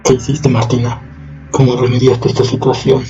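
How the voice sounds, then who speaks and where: An actor playing a radio show host who asks a caller calm, probing questions. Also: male voice, mid-range voice, normal speed, muffled recording, noisy background, natural voice. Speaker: radio host taking a call. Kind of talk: radio drama acting.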